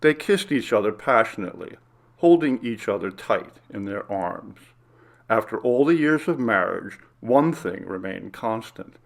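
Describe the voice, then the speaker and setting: A man's voice: lowish voice, expressive, measured pace, gravelly. A man reading a scripted story aloud as its narrator.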